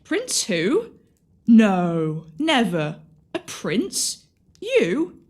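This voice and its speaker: A woman's voice: on the high side, wildly swooping pitch, drawn-out words, darkish tone, exaggerated voice. A woman playing a daft, cheery, loyal servant sidekick in an audio pantomime, comically dim and exaggerated. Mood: baffled.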